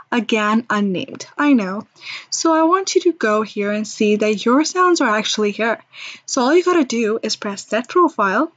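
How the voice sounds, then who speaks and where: A woman narrating an informal screen-recorded software demo in a chatty, reassuring, step-by-step way. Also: mid-range voice, dry recording. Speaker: software demonstrator.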